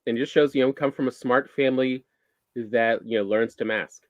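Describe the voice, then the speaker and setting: A man with a Southern accent chatting casually, halting and searching for words. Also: mid-range voice, steady tone, dry recording. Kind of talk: casual chat.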